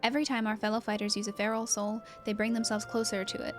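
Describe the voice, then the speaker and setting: A woman voicing an earnest, concerned female fighter from a video game, speaking in a polite, measured, serious way. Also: mid-range voice, little pitch movement, music in the background, natural voice.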